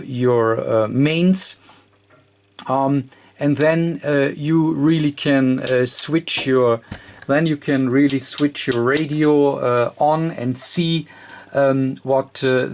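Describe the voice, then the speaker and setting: A presenter giving an unscripted technical explanation in a very hesitant, halting way, with constant ums and uhs between short phrases. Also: medium pitch, a little mellow. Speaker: presenter of an electronics repair tutorial.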